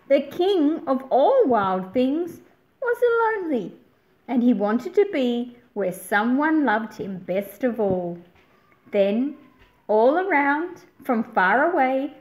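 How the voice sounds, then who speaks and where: A woman in her thirties reading a picture book aloud to children with expressive, deliberate, dramatic phrasing. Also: a little high, poor audio. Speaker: children's story reader.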